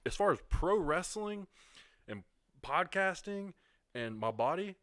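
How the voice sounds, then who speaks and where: A man's voice: high voice, very animated, unhurried, fairly bright tone, dry recording, clean studio sound. A man in his twenties, a podcast guest, talking candidly and reflectively in casual chat. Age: 20s. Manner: candid, self-reflective storytelling.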